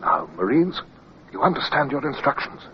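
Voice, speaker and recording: An actor playing a composed, earnest British naval officer in a radio drama, speaking formally and with measured delivery. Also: male voice, mid-range voice, very animated, natural voice. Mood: firm, commanding. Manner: formal, measured, clipped orders. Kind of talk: radio drama acting and first-person narration. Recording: dull, faintly noisy old radio recording.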